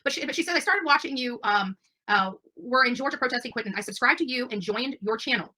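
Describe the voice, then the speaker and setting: A woman's voice: on the high side, lively intonation, quick pace, bright, forceful tone. A woman hosting a channel, talking casually to her audience in a rambling, emphatic, defensive way. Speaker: livestream channel host. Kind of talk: casual livestream monologue to audience.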